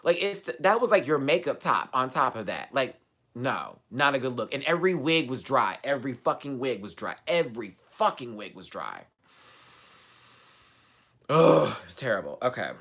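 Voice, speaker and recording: Sassy, bright-voiced man dishing gossip. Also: mid-range voice, sing-song, normal speed. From Texas. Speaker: host. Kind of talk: live chat commentary on a reality TV show.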